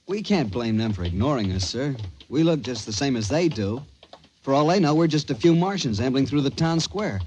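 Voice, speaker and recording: A man's voice: mid-range voice, expressive, a little fast, darkish tone, lightly acted. An actor playing a sensible, earnest, deferential rocket crewman in an old-time radio drama. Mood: cautious. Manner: earnest, polite. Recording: noisy old radio recording.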